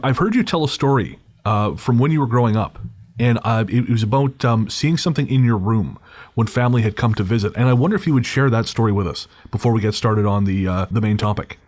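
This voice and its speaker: Low-voiced male podcast host, curious. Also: fast talker, a little mellow, no room sound. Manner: curious, asking thoughtful open questions. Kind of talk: casual podcast interview.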